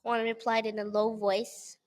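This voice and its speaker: A woman's voice: a little high, flat delivery, a little slow, slight background noise. A woman narrating a scripted children's story as an author reading her work aloud.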